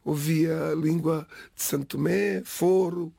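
A middle-aged man from the Lisbon area whose first language is Cape Verdean Creole, giving a halting, reflective personal account in an interview. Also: fairly high pitch, steady tone, gravelly, dark tone, husky, dry recording, studio quality. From Portugal, Lisbon area. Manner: halting, restarts phrases, pauses between words.

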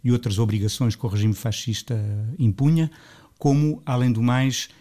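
Reflective Portuguese man from Lisbon. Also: normal speed. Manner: thoughtful, restarts phrases, rises in pitch when animated. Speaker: composer guest. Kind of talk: radio interview.

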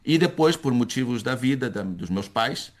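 An older man, an academic, answering in a conversational interview, halting and repeating words as he searches for them. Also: medium pitch, lively intonation. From Chile-born son of Brazilian exiles, later Spain. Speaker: academic, university professor, interview guest.

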